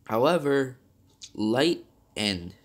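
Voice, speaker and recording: A man's voice: medium pitch, animated, drawn-out words. A young man reviewing a video game in a rambling, casual way, with many fillers and self-corrections, still slightly nasal from being sick.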